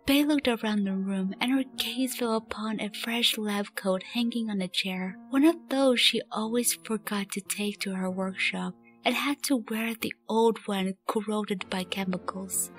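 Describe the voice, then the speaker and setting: A woman's voice: mid-range voice, fairly bright tone. A woman reading scripted story narration as the narrator of a fan radio play. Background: music.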